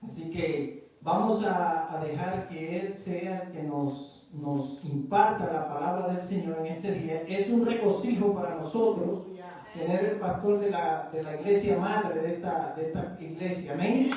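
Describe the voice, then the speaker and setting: A man hosting a church service and interpreting the guest's sermon phrase by phrase, halting with fillers and restarts. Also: high voice, mellow, slight background noise. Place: church sanctuary. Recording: echoey church hall from far off.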